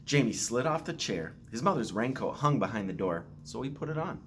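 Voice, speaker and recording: A man's voice: medium pitch, very animated, brisk, slight background noise. A man reading a children's storybook aloud expressively, with playful asides. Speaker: school staff member reading aloud to children.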